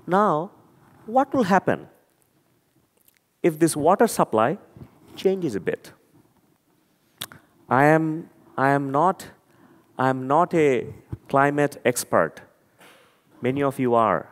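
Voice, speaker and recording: A man's voice: a little high, expressive, takes it slowly, pauses often. A man from Bangladesh with a Bangladeshi accent, giving an earnest, emphatic talk to an audience and often repeating words as he searches for them. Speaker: conference speaker. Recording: long hall reverb.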